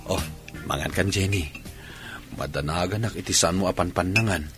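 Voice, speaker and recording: An actor with a Filipino accent playing a patient, caring young husband who coaxes and soothes in a radio drama. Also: male voice, sits low, moderate pace, music in the background, close to an everyday voice. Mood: coaxing, concerned. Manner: coaxing, soothing. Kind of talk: Ilocano radio drama acting.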